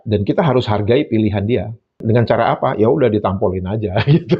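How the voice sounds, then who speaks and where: A man's voice: on the low side, fast, breathy edge, highs cut off. A man giving solo commentary, emphatic and mocking, often repeating phrases for effect. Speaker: YouTube commentator.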